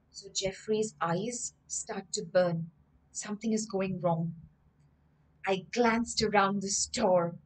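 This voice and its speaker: Slow-paced woman teaching through stories. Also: mid-range voice, low-quality recording. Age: young adult. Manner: didactic, emphatic, repeats words with synonyms. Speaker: English teacher and storyteller. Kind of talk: storytelling vocabulary lesson for children. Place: indoor room with bookshelves.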